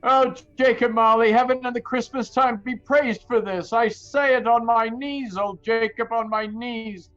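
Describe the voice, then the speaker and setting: An actor playing a repentant old miser in an audio play, halting and stammering with fervent feeling. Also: male voice, very high-pitched, steady tone, a bit bright, highs cut off. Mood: desperate pleading. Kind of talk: theatrical audio-play acting. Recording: noisy audio-play recording.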